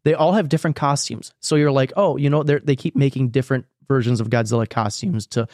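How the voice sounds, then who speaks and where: A man's voice: medium pitch, steady tone, quick pace, darkish tone, no echo, clean studio sound. A man co-hosting a podcast, giving opinions in casual chat, emphatic, repeating himself and swearing casually. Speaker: podcast co-host.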